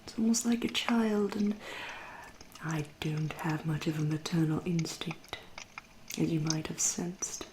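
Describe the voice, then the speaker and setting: Slow, whispery English vampire woman, acted out by a woman. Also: sits low, steady tone, noisy background. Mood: patronising, musing. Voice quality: soft, breathy half-whisper. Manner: slow, deliberate, politely menacing. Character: centuries-old female vampire, genteel, teasing, coolly predatory. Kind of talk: ASMR roleplay, whispered and soft-spoken.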